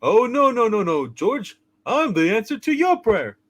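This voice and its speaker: Kindly eccentric old angel, super high voice all over the place, fussy n polite, radio play style. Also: male voice, very animated, unhurried, exaggerated voice. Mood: earnest, fussy. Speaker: channel co-host. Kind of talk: hammed-up radio play reading.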